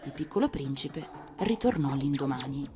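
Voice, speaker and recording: A woman's voice: a bass voice, expressive, moderate pace, mellow. Woman narrating a storybook over music. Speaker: actress reading a fairy tale on radio.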